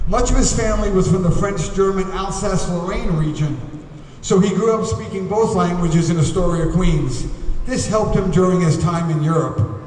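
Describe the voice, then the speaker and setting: A man emceeing a ceremony, reading biographies aloud at a podium in a formal, measured way with occasional hesitations. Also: high-pitched, a little mellow, a little hiss or hum. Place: large museum hall. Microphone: podium microphone. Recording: echoey hall, voice from far off.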